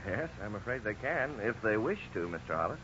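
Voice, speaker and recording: An actor playing a frank, courteous lawyer in a 1950s radio drama, speaking in a formal, measured, explanatory way. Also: male voice, lowish voice, sing-song. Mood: frank, reassuring. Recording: dull, noisy 1950s radio recording.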